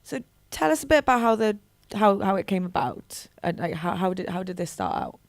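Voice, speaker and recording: Giggly Welsh journalist hosting a Q&A. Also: female voice, medium pitch, very animated, a little fast, no echo. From from just outside Cardiff. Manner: giggly, chatty, teasing. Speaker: journalist, Q&A host. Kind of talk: hosting a festival Q&A. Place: cinema Q&A stage.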